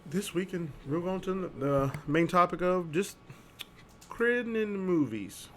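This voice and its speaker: A man co-hosting a live-streamed chat show, talking casually and jokily, rambling with loud excited bursts. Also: medium pitch, vocal fry, a little hiss or hum. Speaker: co-host. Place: home streaming setup.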